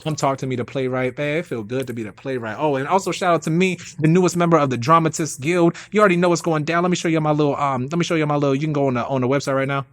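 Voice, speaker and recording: A man's voice: a little high, very fast, hardly any pauses. A man in casual, self-promoting chat, talking in hype slang and repeating phrases for emphasis. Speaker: playwright and performer.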